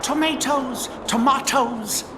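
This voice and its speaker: A man's voice: extremely high pitch, takes it slowly, background noise. A man voicing a mischievous, cocky imp from another dimension in a video game, taunting and wisecracking theatrically. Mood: gleeful, sly. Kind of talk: cartoonish video game acting.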